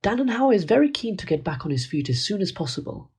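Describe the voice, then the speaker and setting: An actor voicing a grave ship's doctor in a radio play, speaking slowly in a breathy half-whisper. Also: mid-range voice, sing-song, heavily acted. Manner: slow, hushed, careful delivery. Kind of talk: amateur radio drama acting.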